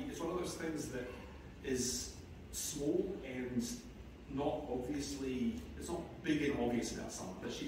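Halting New man in an echoey church. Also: medium pitch, far from the mic, background noise. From New Zealand. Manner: halting, warm, with many restarts and fillers. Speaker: son giving a eulogy. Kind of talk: eulogy at a memorial service. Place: large church sanctuary. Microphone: far camera in the pews.

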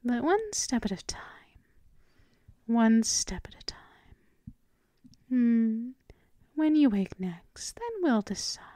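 A woman's voice: fairly high pitch, sing-song, slow pace, long pauses, no echo, studio quality. A woman playing a dominant, teasing vampire mistress in an intimate ASMR roleplay, whispering breathily and lingering over repeated endearments. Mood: teasing, commanding. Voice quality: breathy whisper mixed with soft voiced speech. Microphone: close microphone.

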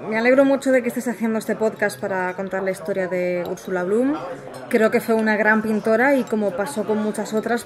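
A woman's voice: mid-range voice, natural voice. An actress playing a reticent former researcher and young mother with an accent from Spain, speaking hesitantly and sincerely in a naturalistic audio drama interview. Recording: busy café chatter behind. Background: café crowd.

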